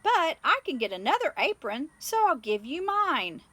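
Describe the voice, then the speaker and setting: Lady doing a nice helpful workman from a kids book, pretty high bright voice. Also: very animated, normal speed, a little hiss or hum. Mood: obliging. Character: friendly, generous workman, adult male. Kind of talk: children's storybook character voice.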